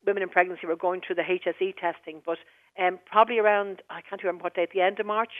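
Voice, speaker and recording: Brisk Irish woman on a phone line. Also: on the low side, fairly even intonation, dry recording. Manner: brisk and reassuring, official tone. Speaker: hospital director of midwifery. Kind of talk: phone-in radio interview.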